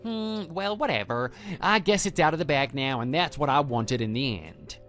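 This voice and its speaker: A man's voice: on the high side, lively intonation, moderate pace, a bit bright. A man voicing a brash, evasive young former student in a scripted audio drama, with a flippant, defensive manner. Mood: annoyed. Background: background music.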